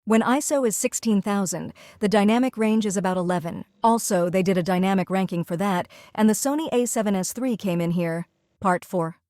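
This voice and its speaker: A woman's voice: mid-range voice, fairly quick, a little mellow, no room sound, studio quality. A woman student reading out a scripted explainer presentation step by step.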